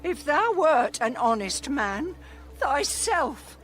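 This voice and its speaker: An indignant poor widow in radio Shakespeare. The voice is high and swoops widely, with slow, drawn-out complaints that repeat and exclaim. She is long-suffering and scolding. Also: big pitch swings, heavily acted. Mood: pleading. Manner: garrulous, repetitive, exclamatory.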